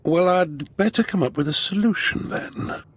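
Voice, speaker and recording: An actor playing a scheming, pompous aristocratic duke in a video game, with drawn-out emphasis. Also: male voice, sits high, no echo. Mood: scheming, smug. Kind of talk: video game character acting.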